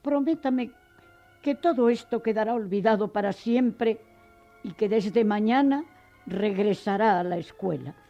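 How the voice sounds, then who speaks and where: A woman acting a kind, concerned schoolteacher in a radio drama, gentle and solemn, on a dull recording. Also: medium pitch, unhurried, faint music behind, close to an everyday voice. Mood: concerned, tender.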